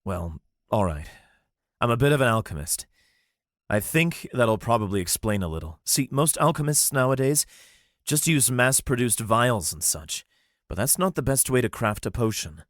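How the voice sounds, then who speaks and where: A man's voice: medium pitch, expressive, moderate pace, a bit bright, no echo, studio quality, pitched above the performer's own voice, natural voice. A man voicing an earnest young male alchemist explaining his plans.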